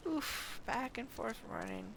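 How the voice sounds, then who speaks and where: A woman's voice: fairly high pitch, huge pitch swings, slow. A woman live-streaming a game, chatting casually and reading quest text aloud, stumbling over unfamiliar names. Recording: clearly noisy background.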